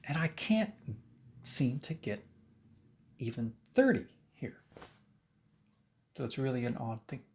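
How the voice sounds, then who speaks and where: Animated man in a roomy recording. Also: mid-range voice, takes it slowly, long pauses. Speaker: private violin teacher. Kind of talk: casual interview recollection. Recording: roomy, distant mic, faint noise.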